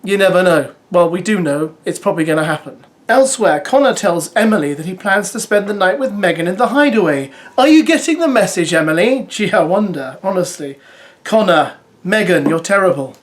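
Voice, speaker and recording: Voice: medium pitch, lively intonation, fairly quick. A parent vlogging casual commentary on a reality dating show, chatty with sarcastic asides. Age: adult parent. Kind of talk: casual spoken commentary to viewers.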